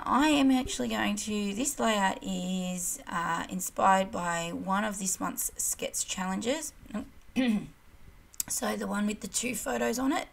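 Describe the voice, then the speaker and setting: Woman crafter, hoarse gravelly voice, loose chatty voiceover, middle pitch. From Australia. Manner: chatty, rambling, self-deprecating, many ums. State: croaky, losing her voice. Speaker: kit club design team crafter. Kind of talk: casual scrapbooking voiceover. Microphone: voiceover recorded separately from hands-on video.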